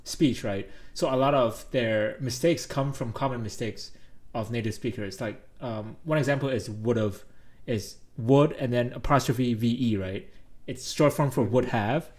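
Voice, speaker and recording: A young man from Hong Kong whose first language is Cantonese, co-hosting a relaxed podcast. His voice sits in an ordinary middle range, and he explains points patiently, often with a laugh. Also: mid-range voice, a little slow. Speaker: podcast co-host. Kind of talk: casual podcast chat.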